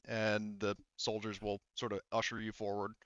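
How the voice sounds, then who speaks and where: A man narrating a tabletop roleplaying game as game master, in a casual, halting way. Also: lowish voice, monotone, fairly bright tone, no echo, dull audio.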